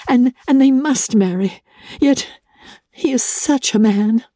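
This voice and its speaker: A woman voicing a spirited, earnest young gentlewoman in a dramatic reading of a classic novel, with emphatic, formal period speech. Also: fairly high pitch, slow pace, no room sound, studio quality, voice pushed up. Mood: uneasy.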